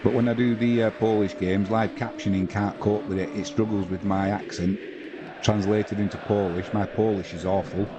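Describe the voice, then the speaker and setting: A lower-voiced English man calls a football match live, mixing play-by-play with easygoing chat aimed at his viewers. Clear background noise sits under his voice throughout the stream. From England. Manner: conversational play-by-play, reading out viewer comments. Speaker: watch-along commentator.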